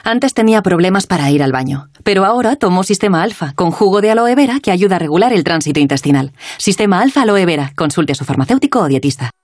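Brisk woman reading a radio ad. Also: fairly low pitch, animated. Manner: brisk, promotional. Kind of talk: radio ad read.

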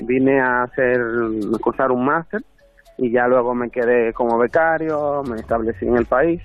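A man's voice: mid-range voice, dry recording. A man with a Dominican accent giving conversational answers as a guest in an interview. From Dominican Republic. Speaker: interview guest, artist and designer. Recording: thin phone-like band, faint music.

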